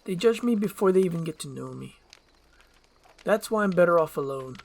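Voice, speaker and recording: A performer voicing a gruff, grumpy, defensive male ogre in an acted screenplay reading. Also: mid-range voice, expressive, brisk, a little mellow, pitched above the performer's own voice. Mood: bitter.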